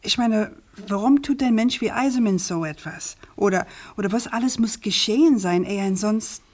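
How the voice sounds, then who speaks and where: An actress playing a persistent, probing investigative journalist in a naturalistic radio crime drama, questioning insistently and deliberately. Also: female voice, mid-range voice, darkish tone, natural voice. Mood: probing, skeptical.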